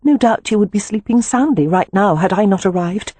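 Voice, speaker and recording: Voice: mid-range voice, expressive, brisk, mellow, no room sound, pitched above the performer's own voice, lightly acted. A narrator voicing an earnest, distressed young married woman in an audiobook, pleading and emphatic in manner. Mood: apologetic. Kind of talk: audiobook character dialogue.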